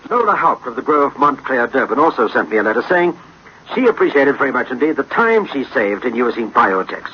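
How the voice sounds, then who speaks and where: A man with a rough, husky voice delivering courteous, formal 1960s radio advertising. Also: medium pitch. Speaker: sponsor presenter. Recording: dull vintage radio recording.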